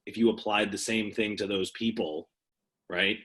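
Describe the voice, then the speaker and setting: A man's voice: on the low side, fairly even intonation, normal speed, a bit bright. A man taking part in a coaches' call, making his points in casual, explanatory discussion. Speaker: participant in a coaches' conference call.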